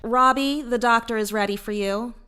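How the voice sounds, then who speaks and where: An actress playing a businesslike clinic nurse who calls patients in, in an audio drama. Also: female voice, on the high side, moderate pace, noisy background, close to an everyday voice. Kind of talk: naturalistic audio drama acting.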